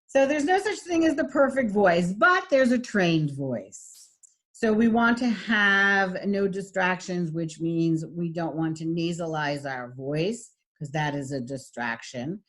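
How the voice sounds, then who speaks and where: A middle-aged woman with a husky voice, giving a recorded lecture as a voice and speech teacher, with frequent fillers. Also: lowish voice, a little slow, a bit bright. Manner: demonstrates voice types, lots of fillers.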